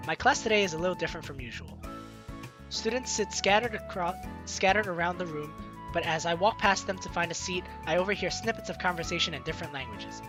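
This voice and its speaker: A woman's voice: fairly low pitch, fast talker, over music. A woman let's player reading a game's story aloud expressively and adding playful, casual commentary.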